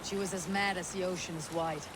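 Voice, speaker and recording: A man playing a loyal, grave Viking warrior in a video game, measured and earnest in speech. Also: high-pitched, fairly even intonation, lightly acted. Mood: loyal, resolute. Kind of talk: dramatic video game cutscene acting. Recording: loud sea and wind noise behind.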